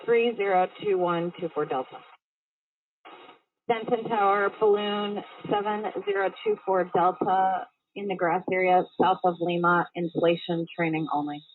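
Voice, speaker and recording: A woman's voice: lowish voice, fairly even intonation, unhurried, no room sound. A woman speaking as a balloon pilot, making clipped, procedural aviation calls over the radio. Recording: tinny, phone-like band-limited radio audio.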